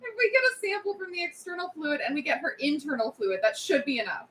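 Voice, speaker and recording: A woman's voice: sits high, sing-song, fast talker, reverberant, heavy background noise. A woman playing a pragmatic, reasoning survivor in a script table read. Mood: matter-of-fact.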